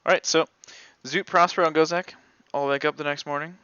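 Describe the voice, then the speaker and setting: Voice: mid-range voice, steady tone, a little fast, pushed and bright, clean tone, dry recording, muffled recording. A game master casually narrating a tabletop roleplaying game and talking with the players.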